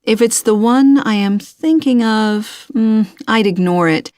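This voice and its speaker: A woman playing a helpful university tutor, giving measured, instructive advice in a scripted listening-test dialogue. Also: fairly high pitch, a little mellow, no echo, clean studio sound, lightly acted. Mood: helpful, advising.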